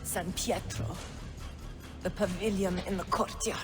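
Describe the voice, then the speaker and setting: Woman playing slow, pleading young noblewoman. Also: medium pitch, fairly even intonation, over music, lightly acted. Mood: bitter. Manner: pleading, emotional. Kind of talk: dramatic game cutscene acting.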